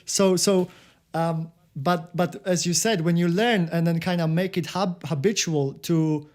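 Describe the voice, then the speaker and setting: A man with a Polish accent hosts a casual interview. His voice is somewhat high for a man. He talks haltingly, doubling words and restarting phrases as he goes. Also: steady tone, darkish tone. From Poland. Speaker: podcast host.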